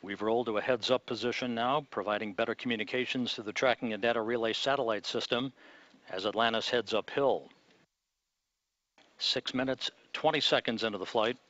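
Calm male launch commentator, dry dull audio. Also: mid-range voice, dry recording. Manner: measured, calm announcer delivery. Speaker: launch commentator at mission control.

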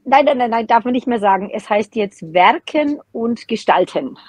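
A woman's voice: fairly high pitch, lively intonation, normal speed, dry recording. A middle-aged woman, chatting casually and candidly as a podcast guest. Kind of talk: casual chat. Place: small room at home, indoors.

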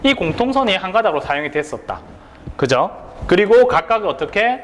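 A man's voice: high-pitched, big pitch swings, brisk, long reverb tail. A male instructor giving an exam prep lecture, posing rhetorical questions and checking that students follow along.

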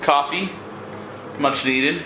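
A man's voice: a little high, takes it slowly, background noise. Easygoing man vlogging to a handheld camera. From southern California. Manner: relaxed, rambling, lots of fillers. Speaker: travel vlogger. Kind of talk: casual vlog narration. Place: outdoors, hotel room and parked van. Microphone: handheld camera at arm's length.

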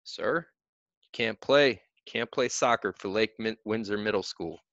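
A man voicing a middle-aged male soccer coach who lays down the rules in a firm, measured way. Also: lowish voice, a bit bright, no room sound, highs cut off. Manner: firm, measured, rule-bound. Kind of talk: audiobook character voice.

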